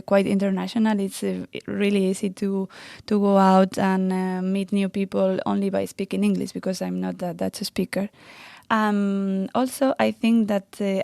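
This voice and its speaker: A woman in her thirties from Spain with a Spanish accent, answering interview questions about her research with many pauses and fillers. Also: medium pitch, gravelly, mellow, no room sound, studio quality. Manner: hesitant, many fillers and restarts. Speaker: postdoctoral researcher.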